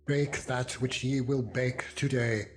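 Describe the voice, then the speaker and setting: A man's voice: medium pitch, steady tone, slow pace, pitched above the performer's own voice, natural voice. A man voicing a stern, commanding prophet in a solemn, emphatic dramatized scripture reading. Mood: solemn, instructing. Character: Moses, stern commanding male prophet leading his people. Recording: loud music under the voice.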